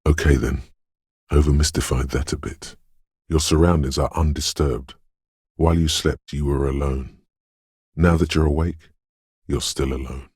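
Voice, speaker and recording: A man voicing a detective's eerie, dreamy intuition in a video game, narrating in a husky, hushed, ominous and poetic way. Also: no echo, clean studio sound, heavily acted. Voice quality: husky, gravelly bass. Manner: hushed, ominous, poetic, lingering on short phrases. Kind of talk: atmospheric second-person video game narration.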